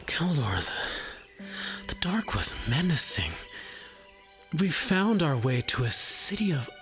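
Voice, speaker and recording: Guy acting confused young hero, stammery and breathless, slow but voice all over the place, music behind. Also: fairly high pitch, big pitch swings, a bit bright, full character voice. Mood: anxious. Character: bewildered young man just awakened from captivity. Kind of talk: audio drama acting.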